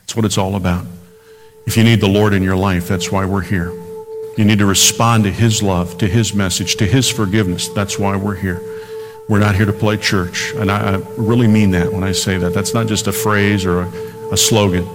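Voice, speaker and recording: A man preaching a radio sermon, earnest, slowing down when he quotes scripture. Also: lowish voice, fairly even intonation, big-room sound, over music. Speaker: pastor.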